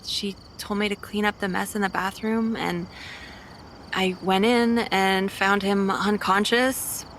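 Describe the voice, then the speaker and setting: A woman's voice: mid-range voice, fairly even intonation, slow pace, a bit bright, slight background noise. A woman acting as a reluctant, guarded waitress informant in an audio drama. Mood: reluctant, uneasy.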